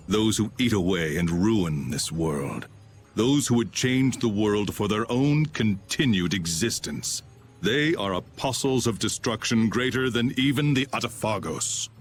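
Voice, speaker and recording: Very deep, solemn swordsman. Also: a little hiss or hum. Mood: grave, condemning. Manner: solemn, formal, measured speech. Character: grave, aloof male swordsman, bitter about humanity. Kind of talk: dramatic video game voice acting.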